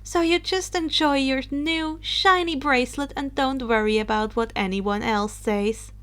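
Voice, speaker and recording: A woman's voice: high voice, measured pace, a bit bright. A woman voicing a playful, eccentric teenage girl witch for a story, airy and teasing in manner. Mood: teasing, reassuring.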